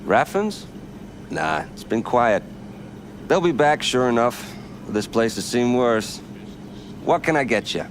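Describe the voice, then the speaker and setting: Laid-back, lively-pitched bartender man. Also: slow pace, pauses often, slight background noise. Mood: unworried. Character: easygoing, unflappable male roadside motel bartender. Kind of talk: video game cutscene acting.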